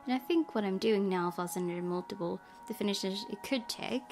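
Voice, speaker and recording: A woman's voice: medium pitch, fairly quick, a little mellow. A woman hosting a gaming video, reading visual novel narration aloud and adding her own asides. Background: guitar game music.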